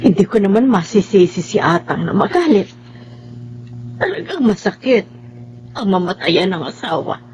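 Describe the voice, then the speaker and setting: A woman playing a patient, forgiving middle-aged mother in a radio drama, sorrowful and gently pleading. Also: mid-range voice, very animated, normal speed, long pauses, lo-fi sound, slight background noise, lightly acted. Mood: sad, gentle.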